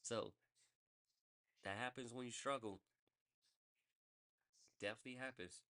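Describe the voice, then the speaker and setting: A man's voice: medium pitch, unhurried, bright, forceful tone. A man hosting a sports podcast, reading baseball news in a loose, rambling way and correcting himself as he goes. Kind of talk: casual sports news rundown.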